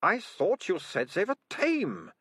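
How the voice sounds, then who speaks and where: A man voicing a bossy, sharp-tongued young girl who snaps at others. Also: sits high, huge pitch swings, takes it slowly, slightly rough, no echo, studio quality, pitched above the performer's own voice, exaggerated voice. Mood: indignant. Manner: haughty, snapping.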